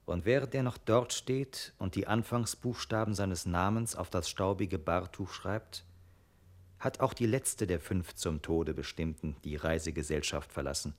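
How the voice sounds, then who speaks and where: A man with a low voice narrates a radio drama. His delivery is measured and literary, carrying long scripted sentences. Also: steady tone.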